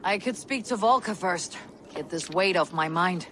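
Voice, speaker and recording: An actress playing a steady, plain-spoken female Viking warrior in a game cutscene. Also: on the low side, normal speed, a little hiss or hum.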